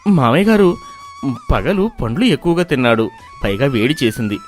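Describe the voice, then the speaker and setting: A man voicing a gentle, selfless village son-in-law in a moral story, speaking softly and respectfully. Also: medium pitch, very animated, moderate pace, soft background music, lightly acted. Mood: earnest. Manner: soft, respectful, patient. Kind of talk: animated moral-story voice acting.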